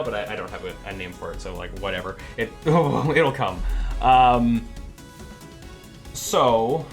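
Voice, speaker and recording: A young man from Canada streaming his game development, chatting casually, rambling and laughing as he loses his train of thought. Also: mid-range voice. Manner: rambling, chatty, laughs often, trails off and restarts. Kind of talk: rambling casual livestream chat. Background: faint music.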